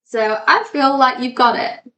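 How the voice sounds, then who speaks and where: A woman's voice: sits high, measured pace, sharp tone. Echoey woman chatting casually on a podcast. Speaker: podcast co-host. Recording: echoey, roomy sound.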